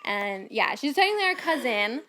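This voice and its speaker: A woman's voice: a little high, very animated, brisk, a loud crowd. A woman in her late twenties hosting a casual podcast chat in an informal, giggly way. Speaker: podcast host.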